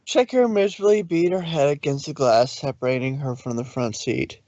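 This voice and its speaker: A man's voice: fairly high pitch, normal speed, mellow, dry recording, dull audio. A man narrating a story aloud in a dramatic reading style.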